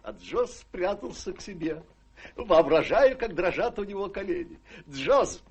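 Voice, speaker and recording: Droll middle-aged father, mocking, husky, rather high and lively, classic radio drama delivery. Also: measured pace, heavily acted. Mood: amused. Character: teasing, sardonic middle-aged father, gentleman of the house. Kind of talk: theatrical radio play acting.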